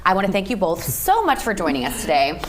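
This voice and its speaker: A woman's voice: fairly low pitch, wildly swooping pitch, quick pace. A woman with an American accent hosting an upbeat interview on a TV news show. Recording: clear background noise.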